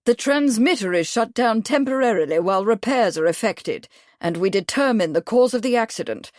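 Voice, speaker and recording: A woman's voice: on the high side, lively intonation, normal speed, no room sound, clean studio sound, voice pushed up, natural voice. A woman voicing a brusque, stubborn male company manager who growls his refusals. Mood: fierce. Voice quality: brusque, forceful.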